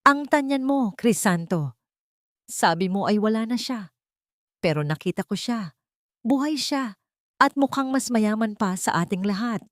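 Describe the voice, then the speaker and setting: A woman's voice: fairly high pitch, animated, measured pace, long pauses, no echo, studio quality, pitched above the performer's own voice. A woman voicing a domineering older society matriarch, scheming and urgent, in an audio drama. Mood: frantic scolding. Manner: scolding, urgent.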